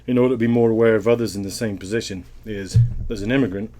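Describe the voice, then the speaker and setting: A man's voice: lowish voice, steady tone, quick pace, mellow, faint music behind. A Welsh man answering interview questions in a casual, thoughtful way, often rambling and restarting his sentences. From Wales.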